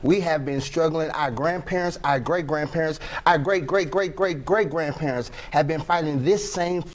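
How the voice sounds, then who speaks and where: A man's voice: fairly high pitch, moderate pace. A man on a debate panel, arguing in a heated, exasperated way.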